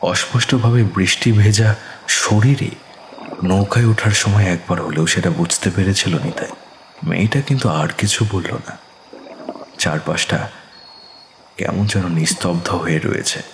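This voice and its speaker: A man narrating a scripted ghost story in a slow, suspenseful manner. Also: sits low, reverberant, slight background noise.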